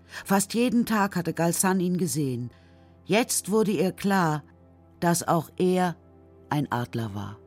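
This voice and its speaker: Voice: mid-range voice, very animated, slow pace, a little mellow. A narrator telling a children's story in a scripted, literary radio play style. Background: music.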